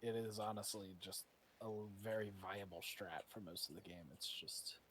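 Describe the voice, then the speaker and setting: Laid-back low-voiced man gaming. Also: steady tone, moderate pace, fairly bright tone, slight background noise. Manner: laid-back, swears casually. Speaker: streaming co-player. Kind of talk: casual gaming stream chat.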